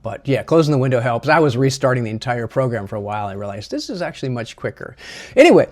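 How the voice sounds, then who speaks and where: A man presenting a software tutorial in a casual, chatty, slightly self-deprecating way. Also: mid-range voice, big pitch swings, fast.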